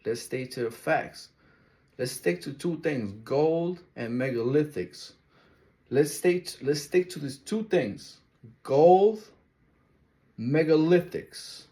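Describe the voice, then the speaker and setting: A man's voice: fairly high pitch, lively intonation, takes it slowly, warm tone. A man from Ecuador giving casual, emphatic reaction commentary, repeating his key words and using loose street slang. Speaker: video reactor.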